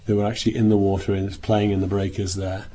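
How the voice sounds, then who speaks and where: Man, hoarse, near voice loss, lowish pitch, husky, rambling sermon talk, indoor room. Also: little pitch movement, fast, warm tone. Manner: rambling, many restarts and fillers. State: hoarse, nearly losing his voice. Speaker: minister. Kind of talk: sermon opening with travel account.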